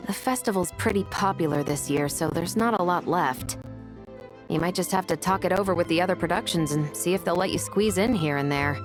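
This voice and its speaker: A woman's voice: lowish voice, fairly quick, close to an everyday voice. An actress playing a helpful, easygoing woman musketeer. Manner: relaxed, obliging. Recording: game audio with music behind.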